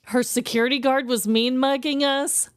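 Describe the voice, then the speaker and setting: A woman's voice: high voice, fairly even intonation, normal speed, a crowd in the background. An American woman from the Midwest telling personal stories casually as a podcast host, chatty and effusive. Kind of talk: casual chat, personal storytelling.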